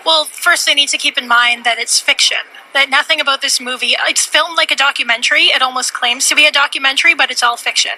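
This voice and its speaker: A woman's voice: sits high, quick pace, hardly any pauses, sharp tone, poor audio. A woman giving an earnest advocacy interview outdoors on the street. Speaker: protester and interviewee. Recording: faint street noise behind.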